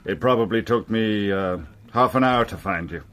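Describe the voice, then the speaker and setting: An actor in a radio crime drama playing a haughty, defensive middle-aged gentleman, curt and easily indignant. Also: fairly low pitch, moderate pace, lightly acted. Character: haughty, defensive titled gentleman, indignant male murder suspect.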